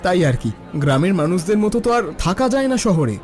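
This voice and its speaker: A man's voice: sits high, big pitch swings, brisk, a little mellow. A man voicing a breezy, self-important young son back from the city in a cartoon. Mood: boastful. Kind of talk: Bengali cartoon voice acting. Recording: music bed behind the voice.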